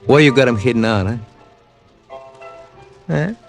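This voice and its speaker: Callous gunman, old radio crime drama, medium pitch, clipped, taunting, menacing, faint music behind. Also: unhurried, gravelly. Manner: taunting, clipped tough-guy talk. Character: menacing, callous male gangster henchman holding a gun. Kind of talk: 1940s-50s radio crime drama acting.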